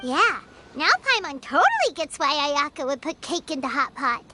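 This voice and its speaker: A woman voicing a tiny female fairy companion in a game, squeaky and excitable, who talks about herself in the third person, recorded over game music. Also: moderate pace, exaggerated voice. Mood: chatty. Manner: excitable, food-loving. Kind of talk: game cutscene voice acting.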